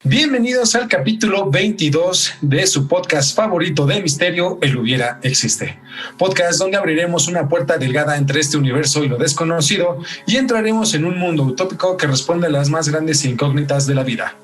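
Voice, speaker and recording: A Mexican man with a fairly high voice hosts a podcast, moving into scripted true-crime narration. He sounds a little away from the mic, with music playing underneath. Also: animated, moderate pace, recorded from a distance. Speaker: podcast host.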